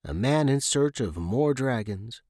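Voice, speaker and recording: A man voicing an earnest, agitated young Victorian gentleman scholar with a proper, emphatic manner, in an audiobook story. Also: mid-range voice, animated, a little slow, dry recording, clean studio sound, close to an everyday voice. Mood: troubled, earnest.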